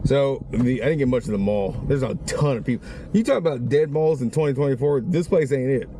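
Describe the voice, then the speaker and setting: A man with a raspy, strained voice, rambling casually through a vlog from a parked car. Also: mid-range voice, lively intonation, brisk, warm tone, a little hiss or hum. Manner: rambling, conversational, many restarts. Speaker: vlogger.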